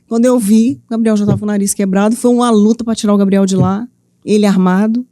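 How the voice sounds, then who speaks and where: A middle-aged woman from Minas Gerais with a Minas accent, telling her story as a studio interview guest with lively, playful earnestness. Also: mid-range voice, fast, dry recording. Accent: Minas Gerais Brazilian Portuguese. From Minas Gerais, Brazil. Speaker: guest, author and mentor.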